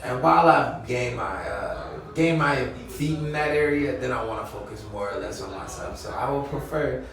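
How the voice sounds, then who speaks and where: A gravelly-voiced American man talks casually as an interview guest in a highly reverberant room full of chatter. He is reflective and wordy, often checking in with his listener. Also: moderate pace. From Louisiana, United States. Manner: reflective, wordy, often checks with "you know what I'm saying". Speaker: interview guest, artist and videographer. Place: echoey indoor mixer event. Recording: roomy echo, distant mic, crowd chatter.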